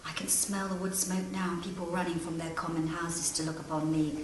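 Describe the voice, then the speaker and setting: An imperious, wronged queen in her forties, acted out by a woman in a grand historical monologue. Her low voice declaims theatrically, ringing around a very echoey hall, some way from the microphone, with a little noise behind. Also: little pitch movement, fairly quick, lightly acted. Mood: proud, grand. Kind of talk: first-person historical monologue. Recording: echoey great hall, distant mic, background noise.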